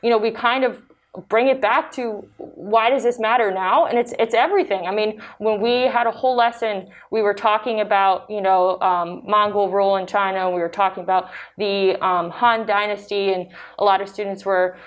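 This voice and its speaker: A woman from Philadelphia whose first language is Ukrainian, a high school teacher talking casually and filling her speech with "you know". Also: fairly even intonation, moderate pace. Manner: chatty, frequent "you know" fillers. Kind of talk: casual conversation.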